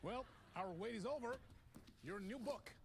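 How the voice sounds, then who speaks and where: A man's voice: high-pitched, expressive, measured pace, gravelly, crowd noise, exaggerated voice. An actor playing an effusive male late-night talk show host, showy and given to repeating himself. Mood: warm, effusive.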